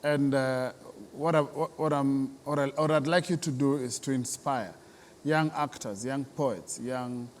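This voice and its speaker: A man's voice: mid-range voice, a little slow. A man with a Kenyan accent hosting an unscripted TV interview, encouraging his guest and repeating himself as he searches for words. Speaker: interview host. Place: large reverberant theatre building. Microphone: speaking on camera. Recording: echoey hall, background noise.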